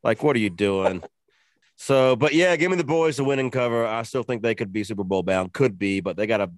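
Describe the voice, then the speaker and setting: A man's voice: medium pitch, brisk, no room sound. A man co-hosting a sports betting show in loose, profane, joking banter. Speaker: podcast co-host. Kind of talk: casual sports betting chat.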